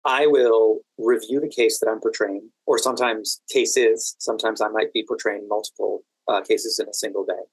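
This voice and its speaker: A man answering interview questions in a measured, careful way, pausing mid-sentence as he explains. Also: on the low side, steady tone, husky, no echo, low-quality recording. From United States, Washington DC area. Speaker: podcast guest, standardized patient and exam event administrator.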